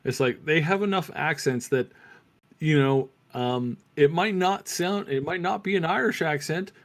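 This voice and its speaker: A man co-hosting a casual review discussion, reasoning out his opinions haltingly and repeating words as he goes. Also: on the high side. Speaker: co-host of a review show.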